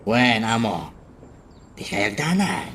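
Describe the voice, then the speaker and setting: A man's voice: fairly low pitch, big pitch swings, slow pace, a bit bright, a little hiss or hum, heavily acted. An actor playing an obedient driver speaking to his boss in a radio drama.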